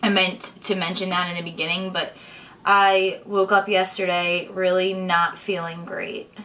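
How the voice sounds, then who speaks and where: A young woman, hoarse and congested, chatting casually while she explains each step of her makeup tutorial. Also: on the low side, level tone, measured pace, pushed and bright, low-quality recording. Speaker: beauty vlogger. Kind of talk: chatty get-ready-with-me tutorial.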